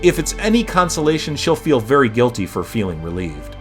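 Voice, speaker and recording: A man voicing God as a calm, matter-of-fact, reassuring figure in a short story read aloud. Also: mid-range voice, brisk, soft background music, lightly acted.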